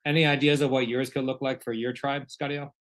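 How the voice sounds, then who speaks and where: A man's voice: medium pitch, level tone, a little fast, a bit bright. Guy hosting a casual group call, medium voice, encouraging, talks to everyone like a gang. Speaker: host of an online community gathering. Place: online video call.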